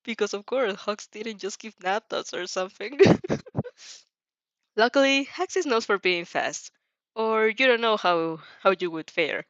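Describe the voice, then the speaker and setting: A woman's voice: medium pitch, expressive, measured pace, no room sound, lo-fi sound. A woman chatting casually and reading fan fiction aloud, giggly, rambling and informal in her delivery. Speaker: audio fan fiction reader and reactor. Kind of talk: casual reaction chat and expressive story read-aloud.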